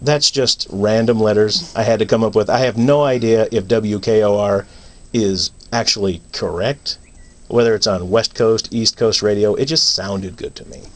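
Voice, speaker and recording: A man's voice: lowish voice, moderate pace. A man talking as a guest playwright in a casual radio interview.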